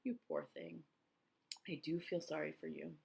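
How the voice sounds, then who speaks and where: A woman voicing a gentle, motherly female spider in a storybook, tender and anxious. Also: sits low, measured pace, gravelly, full character voice.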